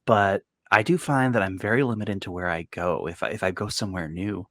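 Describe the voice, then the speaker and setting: Male host, native Filipino speaker, low pitch, dry close recording, easygoing questioner. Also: a little fast, dry recording. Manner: relaxed, hedging, asks long questions. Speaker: podcast host. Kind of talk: casual interview chat.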